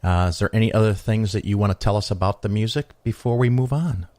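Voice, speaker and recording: Very deep-voiced guy hosting a podcast, dry close sound, laid back and wry. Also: fast, no room sound. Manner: relaxed, wry asides. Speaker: podcast host. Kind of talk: casual interview chat.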